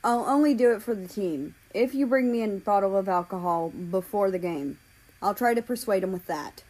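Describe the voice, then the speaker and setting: A woman's voice: medium pitch, moderate pace, darkish tone, close to an everyday voice, low-quality recording. Woman voicing a cheeky, sarcastic teenage boy. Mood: defiant. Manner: casual, grumbling, slangy.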